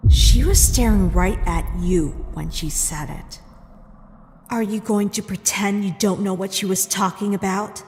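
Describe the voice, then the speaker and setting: A woman plays a wounded young princess in a dramatic comic dub, stern and accusing. She speaks slowly and deliberately, like someone who demands honesty. Her voice rings with long reverb over clear background music. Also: medium pitch, a little mellow, natural voice. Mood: suspicious, hurt.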